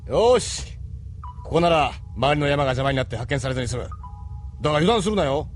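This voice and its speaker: An actor playing a steady, fatherly captain of a resistance ship in an anime audio drama, commanding yet encouraging. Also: a little high, a little fast, faint music behind, lightly acted.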